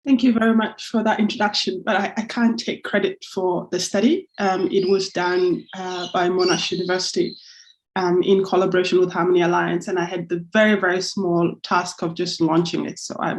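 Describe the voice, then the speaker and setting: A woman in her thirties, a lawyer and advocate, giving a thoughtful public talk at a measured pace with a few fillers. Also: lowish voice, little pitch movement, poor audio.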